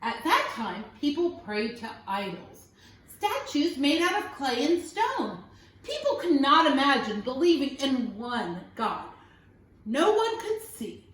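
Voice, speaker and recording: A woman with a high, very animated voice reads a children's picture book like a teacher at storytime. She is expressive and often stops to ask questions or point at pictures. The room around her sounds echoey. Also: slow, distant mic. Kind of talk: animated read-aloud storytelling for young children.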